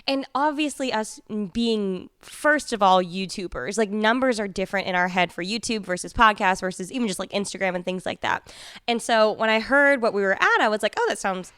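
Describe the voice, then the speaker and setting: A woman's voice: mid-range voice, animated, fairly quick, a bit bright, smooth, dry recording, clean studio sound. A young woman co-hosting a podcast, chatting playfully while lying on a couch at home. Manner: playful, chatty, laughs easily. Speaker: podcast co-host. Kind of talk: casual chat.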